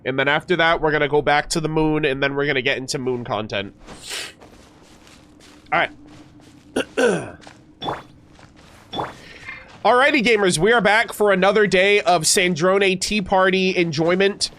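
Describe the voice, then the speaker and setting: A man's voice: a little high, measured pace, pauses often, sharp tone. A man streaming a game, chatting casually with viewers, slightly nasal and stuffed up, with many fillers and restarts.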